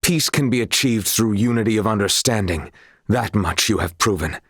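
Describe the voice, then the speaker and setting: An earnest young knight for a video game, loyal and honorable. His voice sits on the low side with a husky grain. He speaks in courtly, old-fashioned phrases, recorded very dry. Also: normal speed, a bit bright, no echo. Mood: grave, resolute.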